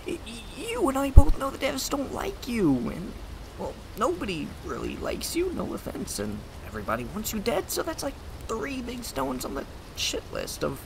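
A woman's voice: fairly high pitch, wildly swooping pitch, moderate pace, gravelly, noisy background, heavily acted. A woman acting in an audio drama as a stammering, exasperated, slangy, foul-mouthed superpowered slacker who follows the rules reluctantly. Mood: nervous, pleading.

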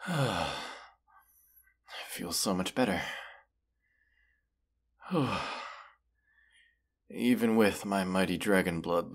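A man's voice: on the low side, a bit bright, breathy edge, exaggerated voice. A man voicing a proud, mighty dragon in a fantasy roleplay, haughty and formal in manner. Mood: pained, relieved. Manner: haughty, deliberate, formal phrasing with menacing undertone. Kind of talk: fantasy roleplay audio acting.

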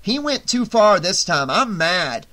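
An excitable, chubby young man, put on by a male narrator for an audiobook. His voice jumps high and bright, with emphatic, giddy delivery. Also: a little slow, exaggerated voice. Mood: angry. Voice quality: hoarse when shouting. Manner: exultant, emphatic.

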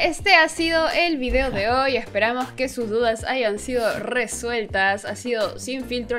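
A woman's voice: fairly high pitch, sharp tone. A young adult woman co-hosting an online show, chatting casually and addressing her viewers. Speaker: co-host of an online chat show.

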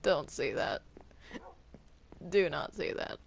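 A woman's voice: sits low, slow, creaky. A woman chatting casually in improvised roleplay while playing a game. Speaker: player in a Minecraft roleplay. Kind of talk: casual improvised roleplay chat during gaming.

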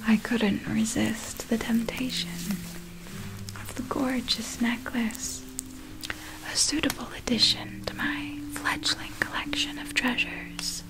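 A woman playing a wicked female vampire in a whispered ASMR roleplay, breathy and deliberate as she savors her storytelling. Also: fairly high pitch, little pitch movement, music in the background. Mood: sinister, savoring.

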